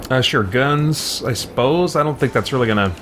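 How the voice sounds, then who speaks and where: A man hosting a let's play, thinking aloud in casual commentary as he goes. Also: mid-range voice. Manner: thinks aloud, muses to himself. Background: game music.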